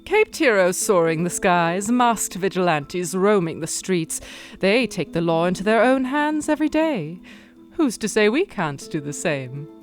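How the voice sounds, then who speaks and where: A woman playing a poised, coolly determined young aristocratic heiress in a radio drama, speaking in a measured, dramatic way. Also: mid-range voice, animated, soft background music, close to an everyday voice.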